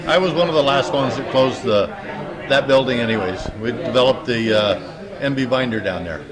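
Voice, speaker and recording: An older man answering interview questions in a casual, halting way as he searches his memory. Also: mid-range voice. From upstate New York, United States. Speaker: interviewee, retired plant's former engineer. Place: indoor social gathering. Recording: busy room with crowd chatter.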